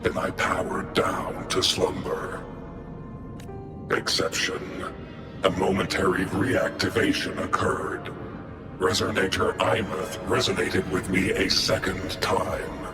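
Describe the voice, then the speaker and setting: An actor playing a stoic war machine in a video game, speaking in clipped, formal, monotone reports with robotic processing. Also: male voice, sits low, slow pace. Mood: solemn, measured. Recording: long hall reverb under loud music.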